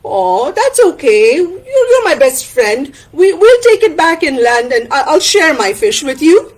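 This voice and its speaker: A woman's voice: high-pitched, very animated, unhurried, poor audio. A woman voicing a feisty, plain-spoken young villager in a told story, emphatic and repeating words. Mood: kindly. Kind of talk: animated oral storytelling character voice.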